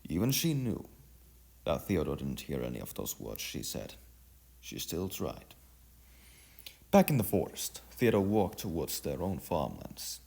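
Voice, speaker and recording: A man narrating a scripted story dramatically, in a halting delivery with stumbles and repeated phrases. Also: very deep voice, very animated, gravelly, dark tone. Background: music.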